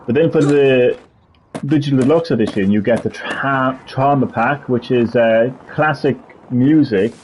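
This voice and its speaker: Mellow-voiced man chatting over gameplay. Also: mid-range voice, lo-fi sound, slight background noise. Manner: rambling, laughs often, reads web text aloud haltingly. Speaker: gaming stream host. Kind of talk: casual gameplay chat.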